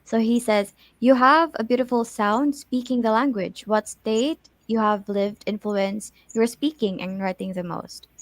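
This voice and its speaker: A woman's voice: on the high side, fairly even intonation, unhurried, hardly any pauses, no echo. Polite woman interviewer, dry close sound. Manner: polite, tentative questioning with fillers. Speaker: interviewer host. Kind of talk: interview questions.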